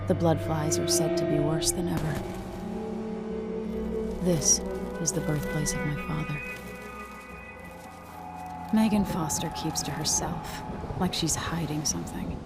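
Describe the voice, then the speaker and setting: A woman's voice: low voice, vocal fry. A young woman playing a determined, vengeful empress in a serious, restrained video game performance. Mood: brooding. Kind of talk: dramatic game acting, brooding narration.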